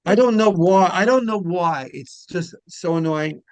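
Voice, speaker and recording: A man's voice: high-pitched, unhurried, darkish tone, no echo. A man hosting a casual interview, talking in a rambling, repetitive way. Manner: rambling, repeats phrases, griping about pet peeves. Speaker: interview show host.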